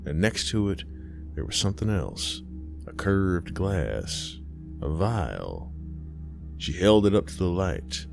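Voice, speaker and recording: A man's voice: low-pitched, creaky. A man reading a horror story as narrator, in a dramatic scripted style. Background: music.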